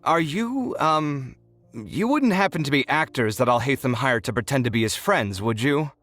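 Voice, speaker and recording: A man's voice: mid-range voice, expressive, moderate pace, a bit bright, natural voice. A man playing a flustered, easily offended young man in a video game, talking in a fretful, theatrical way. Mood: awkward, apologetic.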